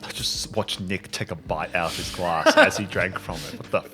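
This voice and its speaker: A man's voice: deep voice, moderate pace, music in the background. A man leading a casual game show chat as game master, jokey and playful. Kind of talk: casual banter.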